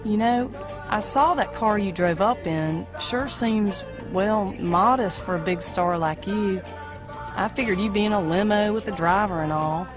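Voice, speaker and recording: A woman's voice: medium pitch, a little slow, close to an everyday voice. A woman playing a brassy, folksy small-town diner waitress in an amateur audio play, with blunt, informal talk. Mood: chatty, folksy. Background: music.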